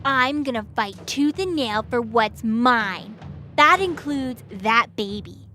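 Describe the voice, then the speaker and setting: A woman's voice: fairly high pitch, expressive, slow, pushed and bright, faint music behind, full character voice. A woman playing a boastful, defiant winged war goddess in an audio drama, with a menacing drawl. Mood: defiant threat. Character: fierce boastful winged war goddess, a brawling phantom queen.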